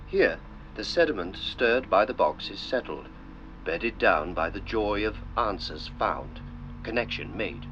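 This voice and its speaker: A man narrating a script in an old-style documentary manner, with measured, solemn, deliberate phrasing. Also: on the low side, expressive, a bit bright. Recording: dull lo-fi sound with clear noise.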